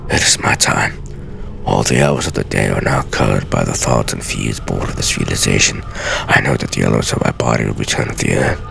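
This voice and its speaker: Man voicing an extremely deep, gravelly aged assassin. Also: a bit bright, slight background noise, full character voice. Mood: weary, brooding. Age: older. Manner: grave, measured, theatrical dramatic reading. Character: aged master assassin writing solemn, weary journal entries.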